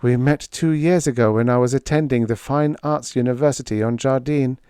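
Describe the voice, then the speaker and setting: A man's voice: mid-range voice, dark tone, clear, resonant tone, no room sound, studio quality, pitched above the performer's own voice, natural voice. A man voicing a wistful, dutiful young princess for an audiobook, in an earnest, plaintive delivery. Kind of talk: audiobook character voice.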